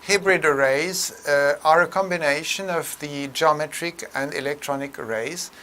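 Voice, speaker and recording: A man presenting a technical tutorial in an explanatory way, with a halting, hesitant delivery full of mid-phrase pauses. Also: a little high, pushed and bright.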